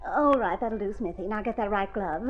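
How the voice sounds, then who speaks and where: A woman's voice: mid-range voice, fairly quick, soft background music, lightly acted. A woman playing a vain young stage actress in a mid-century radio drama, gushing, fussy and theatrical. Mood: gushing, wheedling. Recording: dull vintage radio recording.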